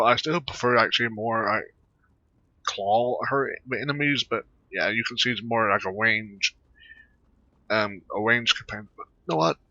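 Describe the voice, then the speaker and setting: A man giving casual let's play commentary in a halting, rambling, repetitive way. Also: mid-range voice, pushed and bright. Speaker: gaming video creator. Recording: very roomy, slightly dull microphone sound.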